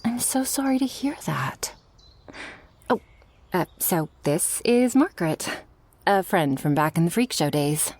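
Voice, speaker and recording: A woman playing a guarded but loving shark girl in an ASMR roleplay, speaking softly and intimately with frequent sighs. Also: fairly low pitch, animated, unhurried, no room sound. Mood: surprised, apologetic. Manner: soft, breathy, intimate, often sighing. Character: guarded, loving shark girl, former freak show performer.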